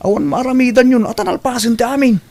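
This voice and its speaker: A man's voice: extremely high pitch, quick pace, darkish tone. A man playing a brash young suitor in an audio drama, eager and evasive, drawling and calling out. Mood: eager, pleading.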